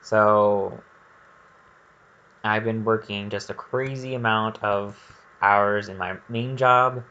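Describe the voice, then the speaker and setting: A man's voice: lowish voice, steady tone, slow, pushed and bright, lo-fi sound. A man giving casual, rambling gameplay commentary, thinking aloud in a candid and hesitant way. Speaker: gaming video creator.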